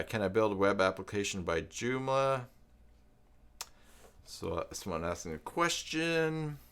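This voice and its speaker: A man with a lowish voice chats casually as a live stream host. His intonation is very lively, rising and dropping often. He talks in a halting, wandering way, working through his thoughts aloud. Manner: halting, rambling, thinking aloud. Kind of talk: casual live stream chat, partly reading web pages aloud.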